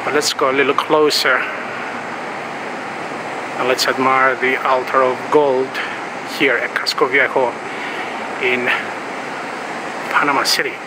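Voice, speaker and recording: A man speaks from inside a large church, talking viewers through its history with unhurried, explanatory phrasing and frequent pauses. His voice sits at an ordinary middle pitch. A heavy, steady hum of air conditioning and outside traffic runs under everything he says. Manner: relaxed, explanatory, pausing often. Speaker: travel vlogger. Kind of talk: walking tour narration.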